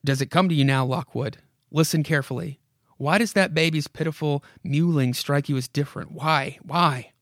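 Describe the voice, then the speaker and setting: A man's voice: fairly high pitch, moderate pace, a bit bright, dry recording. A man puts on the voice of a haunted old house from a horror comic. It speaks straight to its victim with dramatic urgency, insistent and commanding. The sound is dry, with hardly any room around it. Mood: urgent, coaxing. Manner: dramatic, commanding second-person address with repeated imperatives. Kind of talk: horror comic read aloud.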